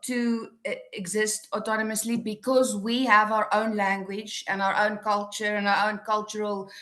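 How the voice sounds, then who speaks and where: A woman's voice: medium pitch, level tone, moderate pace, a bit bright. Woman panelist, afrikaans first language, from south africa, hesitant, fixes her words as she goes. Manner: tentative, with self-corrections. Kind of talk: academic panel discussion.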